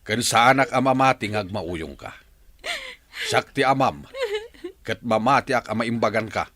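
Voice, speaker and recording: Man acting a low, sorrowful elderly father. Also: normal speed, a crowd in the background, lightly acted. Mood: consoling. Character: sorrowful elderly father, gentle and protective of his daughter. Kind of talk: village radio drama acting.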